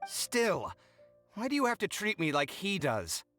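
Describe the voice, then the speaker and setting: A man's voice: sits high, huge pitch swings, measured pace, pushed and bright, heavily acted. An actor playing a touchy young male architect who bickers indignantly and sounds exasperated. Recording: game audio with faint music.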